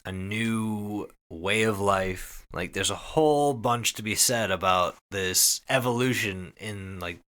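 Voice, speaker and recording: Bright, forceful man telling a story casually. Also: on the low side, takes it slowly, few pauses. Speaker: podcast host summarizing an internet horror story. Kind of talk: casual, sweary podcast storytelling.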